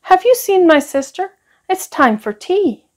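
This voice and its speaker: Storybook small boy, earnest, high and lilting, performed by a woman. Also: sing-song, unhurried, exaggerated voice. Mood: earnest, questioning. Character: earnest little boy searching for his sister.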